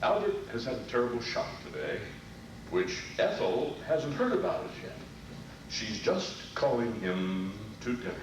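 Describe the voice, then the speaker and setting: A man's voice: mid-range voice, sing-song, a little slow. A man announcing a scripted introduction to an old-time radio comedy sketch. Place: convention stage before a live audience. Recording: echoey hall, distant mic, noisy.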